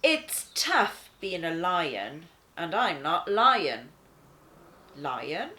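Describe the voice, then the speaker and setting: An easygoing, chatty lion as a woman storyteller plays it talks straight to the listener in a wry, laid-back way. The pace is unhurried and the pitch swings expressively, with pauses for dramatic effect in storybook style. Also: sing-song, pushed and bright, heavily acted. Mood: wry, relaxed. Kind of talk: first-person children's storybook narration.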